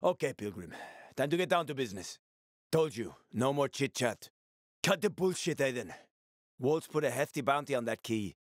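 A man's voice: medium pitch, expressive, normal speed, no room sound, studio quality, lightly acted. An actor playing a world-weary, streetwise male survivor in a video game, with a gritty, sardonic, foul-mouthed manner and dramatic delivery. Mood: cold, threatening, then agitated, angry.

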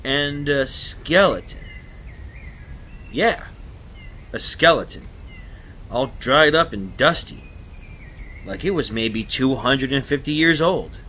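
A man acting a flustered, folksy working man in a retro radio drama, halting and colloquial. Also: mid-range voice, animated, pushed and bright, slight background noise. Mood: baffled, uneasy.

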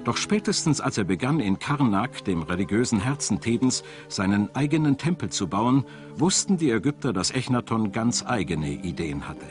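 Lively-pitched male German documentary narrator. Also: normal speed, hardly any pauses. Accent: standard German. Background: background music.

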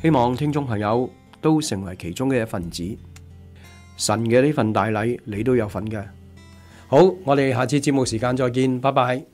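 A man's voice: medium pitch, normal speed, a little mellow. A middle-aged man hosts a radio programme in a Hong Kong Cantonese accent. He speaks into a studio microphone, with music playing behind him. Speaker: radio show host. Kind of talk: radio interview hosting. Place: small padded radio studio. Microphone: studio desk microphone.

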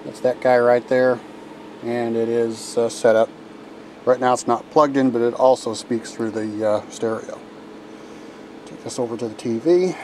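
A man talks through a simple do-it-yourself setup in a casual, folksy way, stopping for fillers and restarting sentences. His voice sits at an ordinary middle pitch. It comes through noisy camcorder audio with a steady hum underneath. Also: unhurried. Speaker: DIY video maker. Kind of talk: casual how-to demonstration. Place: inside a motor home at night.

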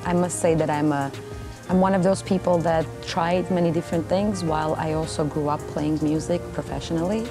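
A woman with a fairly low voice and an Armenian accent speaks in an interview style. She is in her thirties, and music plays clearly behind her. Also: steady tone, fairly quick. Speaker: cellist and vocalist. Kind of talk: interview testimonial. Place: indoor backstage area, seated.

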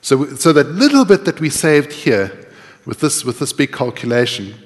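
A man's voice: medium pitch, very animated, moderate pace. Man lecturing in a reverberant hall. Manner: explanatory, thinking aloud while typing. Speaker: conference speaker. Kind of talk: live coding technical lecture. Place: large tiered lecture hall.

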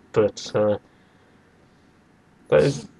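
A man's voice: fairly low pitch, drawn-out words, vocal fry, dark tone. A man in a casual debate about video games as a podcast host, argumentative and given to acting out imagined quotes.

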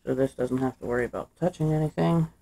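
A man's voice: medium pitch, quick pace, vocal fry, low-quality recording. A young man casually talking through a wiring job step by step, recorded indoors at a workbench on the camera's mic. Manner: relaxed, thinks aloud while working. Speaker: DIY maker. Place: indoor workshop table.

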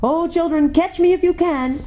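Storybook lion, woman reader: male, majestic and wise, low soft-edged tone, grand measured delivery. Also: very animated. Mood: joyful.

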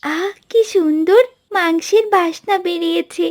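A woman's voice: extremely high pitch, measured pace. A woman voicing a poor, wistful little village girl in a cartoon fairy tale. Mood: pleading.

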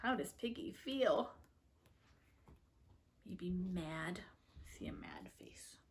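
Unhurried woman reading aloud to children. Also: on the low side, expressive, vocal fry. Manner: soft-spoken asides, questioning the listeners. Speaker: read-aloud storyteller for children. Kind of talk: picture-book read-aloud with asides to children. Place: living room, indoors. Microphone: camera a few feet away.

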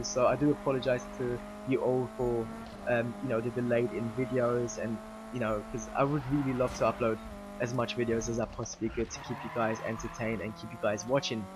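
A young man talks casually to his viewers, his voice hoarse and rough from a sore throat. He rambles with plenty of fillers and keeps calling out to "guys". The recording is noisy, with the roar of a racing game underneath. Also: medium pitch. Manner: chatty, many fillers, keeps saying "guys". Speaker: YouTube gaming channel host. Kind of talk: casual vlog update over game footage.

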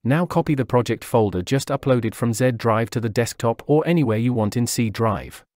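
A man narrating a scripted software tutorial, walking through each step in a steady, instructional way. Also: medium pitch, moderate pace, dry recording, clean studio sound. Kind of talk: scripted software walkthrough voice-over. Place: voice-over over screen recording.

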